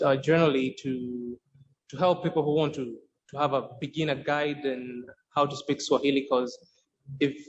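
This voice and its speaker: A man explaining how he teaches Swahili in a podcast interview, earnest and often restarting sentences as he searches for words. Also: mid-range voice. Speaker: Swahili course creator and instructor. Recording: dull lo-fi sound with faint noise.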